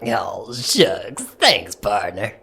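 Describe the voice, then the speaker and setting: A feathered alien cowpoke in a sci-fi comedy podcast. He has a gravelly, bright-edged voice and a folksy American Southern drawl. His pitch moves around in a lively, plainspoken, wary way. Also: very slow, slight background noise, exaggerated voice. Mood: bashful. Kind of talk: comedy audio drama acting.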